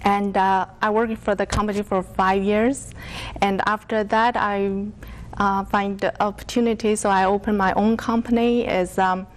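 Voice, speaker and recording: A woman from China with a Chinese accent, answering interview questions haltingly as she searches for words in English, which is not her first language. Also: mid-range voice, fairly even intonation. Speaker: interview guest.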